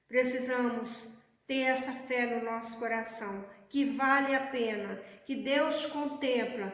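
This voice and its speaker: A woman preaching a sermon in a church, speaking slowly and calling the congregation beloved. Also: on the high side. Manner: slow, measured preaching, addressing the congregation as beloved. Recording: echoey church hall.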